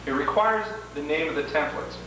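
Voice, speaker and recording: A man's voice: a little high, a little fast. Echoey, distant man giving step-by-step instructions. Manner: methodical, formal wording, step by step. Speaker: product demonstrator and instructor. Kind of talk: instructional training-tape walkthrough. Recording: echoey, noisy, distant 1980s homemade video.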